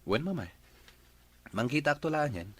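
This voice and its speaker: A man's voice: on the high side, big pitch swings, moderate pace, slightly rough. A man acting an evasive grown son answering his mother in a radio drama.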